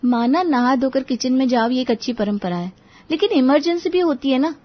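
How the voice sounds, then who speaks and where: Woman as an exasperated young wife, raised pitch. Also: moderate pace, lo-fi sound. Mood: complaining. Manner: complaining, exasperated. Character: frustrated young daughter-in-law complaining to her husband. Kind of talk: Hindi moral-story audio drama.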